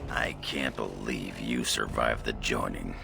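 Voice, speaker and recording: A man voicing a gruff, boastful dwarf warrior in a fantasy game, with a husky growl and blunt, taunting delivery. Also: very deep voice, unhurried, over loud music, heavily acted. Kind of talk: fantasy game dialogue acting.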